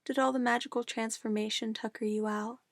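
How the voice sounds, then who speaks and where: A woman playing a sweetly menacing, possessive witch in an ASMR roleplay, cooing and coaxing condescendingly in a soft voice. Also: on the high side, level tone, fairly quick, no room sound, close to an everyday voice. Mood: gushing, adoring. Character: possessive young-sounding witch, sweetly menacing, doting on her familiar.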